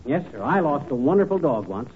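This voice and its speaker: A man's voice: mid-range voice, big pitch swings, a little fast, a crowd in the background, lightly acted. A man playing a blustery, bumbling but kindly married wisecracker with folksy, slangy patter, in 1940s radio comedy style. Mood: boastful. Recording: tinny, noisy 1940s radio recording.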